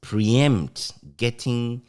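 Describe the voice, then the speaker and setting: A man with a Ghanaian accent, reminiscing casually as an interview guest in an animated, anecdotal way. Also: mid-range voice, very slow. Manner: animated, chuckles often while recounting anecdotes. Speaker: interview guest, former radio broadcaster.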